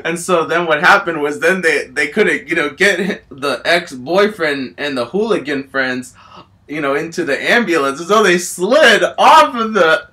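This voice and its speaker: Male amateur storyteller: bright, slightly high voice, rambling off-the-cuff comic tale, excitable and repetitive. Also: measured pace. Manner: excitable, giggly, repetitive filler phrases like "you know" and "all that stuff". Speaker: amateur storyteller in a homemade video.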